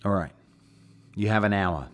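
An actor playing a decisive, wry spaceship captain in an audio drama. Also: male voice, lowish voice, normal speed, fairly bright tone, lightly acted. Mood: commanding. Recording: faint noise behind the voice.

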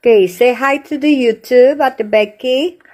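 A woman with a Filipino accent leads a relaxed house tour, her voice pitched a little high. She speaks slowly and playfully, mixing Filipino and English, filmed on a handheld phone indoors. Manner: playful, mixes Filipino and English, slow drawn-out phrasing. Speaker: vlogger giving a house tour. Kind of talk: casual chatty house-tour narration. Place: indoors in a house, walking room to room. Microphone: handheld phone camera.

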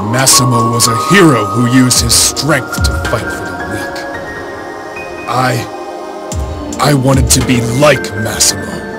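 An anguished, slow-talking robot warrior. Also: male voice, medium pitch. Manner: halting, anguished delivery. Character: big armored male robot warrior, self-doubting, emotional, earnest. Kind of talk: dramatic video game voice acting.

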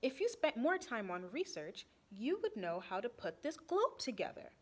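A woman's voice: fairly high pitch, big pitch swings, moderate pace. A woman voicing an earnest, bookish schoolgirl in a storybook, speaking insistently. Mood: scolding. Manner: insistent, pleading. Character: bookish, earnest schoolgirl who loves research.

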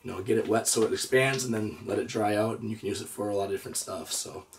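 Hoarse man, 30s, relaxed reptile-channel host, lowish voice, mic at a distance. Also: fast talker, a little hiss or hum. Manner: easygoing, lots of "uh" and "so". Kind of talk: casual show-and-tell vlog. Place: indoor reptile room with storage drawers.

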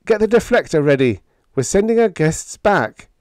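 An earnest young male lieutenant from pulp science fiction, acted out by a male narrator. His voice rides high and swings widely, with formal, urgent emphasis. The sound is dry and close. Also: animated, moderate pace, a little mellow, no room sound, close to an everyday voice.

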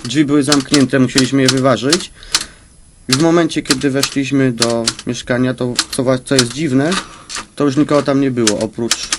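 An actor playing a flustered police sergeant who stammers haltingly through his report in a radio drama. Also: medium pitch, steady tone, very noisy, lightly acted. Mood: nervous, halting. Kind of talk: crime radio drama acting.

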